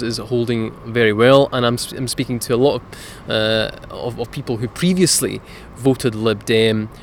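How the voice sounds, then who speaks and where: A man's voice: medium pitch, slight background noise. A man who grew up in Scotland, giving earnest political answers as a candidate in an interview. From born in Italy, raised in Scotland from age seven. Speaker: parliamentary candidate.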